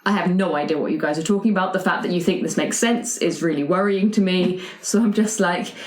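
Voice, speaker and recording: A woman playing a tabletop game, chatting casually with an animated, wry manner in an echoing room. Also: fairly low pitch, brisk. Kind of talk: casual improvised game chat. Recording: roomy, echoing sound.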